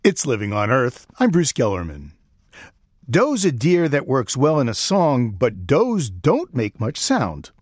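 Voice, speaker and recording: A man's voice: mid-range voice, huge pitch swings, measured pace, husky, dry recording, clean studio sound. A man from Brooklyn with a New York accent, hosting a public radio interview with curious, playful questions. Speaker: radio show host. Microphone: studio microphone.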